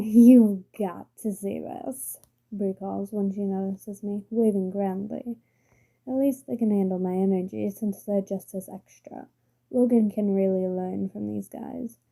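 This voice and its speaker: Woman, story reader, strained voice, dark tone, husky. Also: mid-range voice, rough recording. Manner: soft, careful reading with small slips. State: strained, failing voice. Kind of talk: reading a story aloud.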